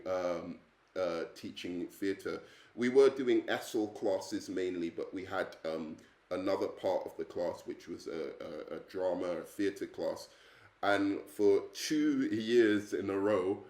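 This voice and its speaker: A man's voice: deep voice, reverberant. A man in his thirties from England with an English accent, an English teacher introducing himself in a hesitant, earnest way with many ums and uhs. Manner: earnest, friendly. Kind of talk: self-introduction video.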